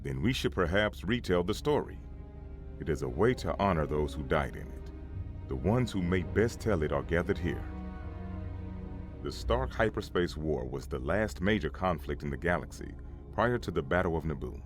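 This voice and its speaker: A man acting a grave Jedi elder telling the history of a war. The voice is very deep and swings expressively as he solemnly narrates, with music clearly behind him. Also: lively intonation, normal speed. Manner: solemn storytelling. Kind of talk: dramatic scripted narration.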